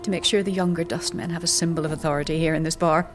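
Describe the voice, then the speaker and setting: Low female actor as jaded old tavern seer: dry wit, knowing gossip, fantasy audio drama, music beneath. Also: fairly even intonation, fast, lightly acted. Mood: mocking, sardonic. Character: sardonic older female undead-philosophy tavern seer and teacher.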